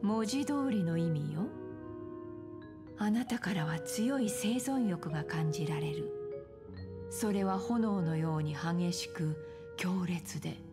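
An elegant pawnshop proprietress from a video game. Her voice sits fairly low, and she speaks with composed, calculating politeness while music plays clearly behind her. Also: slow pace. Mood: cool, dismissive. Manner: polite, composed, coolly teasing. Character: poised, elegant, calculating woman pawnshop keeper. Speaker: game voice actor. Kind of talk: Japanese game story dialogue. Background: game background music.

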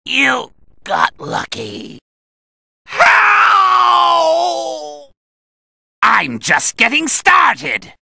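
Fighting game villain: arrogant, bloodthirsty male Muay Thai fighter, piercing strained gravelly delivery, slow drawn-out boasts. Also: very high voice, wildly swooping pitch, no echo, studio quality, full character voice. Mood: defiant. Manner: boastful, theatrical, drawn-out shouting. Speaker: video game voice actor. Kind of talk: fighting game battle taunts and attack shouts.

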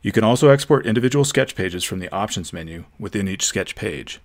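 A man giving a steady, methodical, scripted narration for a software tutorial. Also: fairly low pitch, fairly quick.

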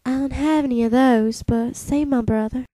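A woman's voice: sits high, fairly even intonation, normal speed, warm tone, no echo, close to an everyday voice. A woman voicing a worried young woman with a Southern US accent in a parody cartoon dub. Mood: anxious pleading. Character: worried young woman pleading for her brother.

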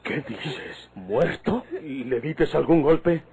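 An actor playing a concerned, protective Mexican parent in old radio drama style. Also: male voice, a little high, lively intonation, drawn-out words, gravelly, a crowd in the background, exaggerated voice. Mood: shocked. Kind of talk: golden-age Mexican radio drama acting.

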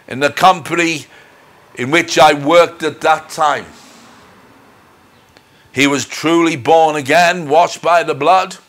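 A middle-aged man preaches his personal testimony in a fairly high voice for a man. He speaks very slowly and deliberately, with earnest, measured phrasing. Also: fairly bright tone. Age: middle-aged or older. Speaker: minister. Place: indoors in front of a dark curtain. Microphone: lapel mic.

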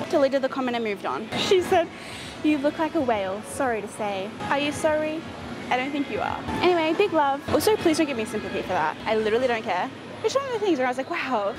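A woman in her twenties with an Australian accent chats casually and candidly to herself during a workout, with a self-deprecating, slangy way of talking. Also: sits high. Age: 20s. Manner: exerting herself between sets. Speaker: fitness vlogger. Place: large concrete-walled gym, indoors. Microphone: clip-on mic on her top. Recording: loud gym ambience noise. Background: loud gym background noise.

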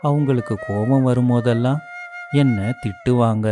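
A man doing a gentle male deity from a storybook cartoon, patient and reassuring, in a mid-range voice. Soft flute music plays behind him. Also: mid-range voice, steady tone, moderate pace, lightly acted. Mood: calm. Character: calm divine male god, kindly and patient. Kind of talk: animated storybook voice acting.

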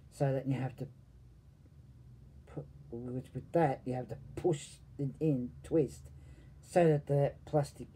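A man in his forties casually reviewing a toy at home, hesitant and often trailing off quietly, recorded on a webcam. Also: mid-range voice, slightly rough. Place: home room full of toys and posters.

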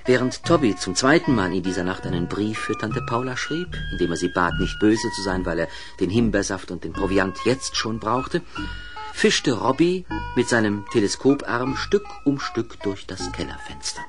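A man's voice: fairly low pitch, animated, moderate pace, music in the background. A man narrating a children's radio play in a vivid, dramatic storytelling manner.

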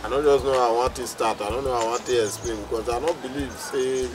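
A man's voice: high voice, lively intonation, brisk, over music. A man playing a lovesick, self-pitying friend in a drama, pleading with a Nigerian accent in a plaintive, hesitant way. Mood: miserable. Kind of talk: comedy drama acting in Nigerian Pidgin.

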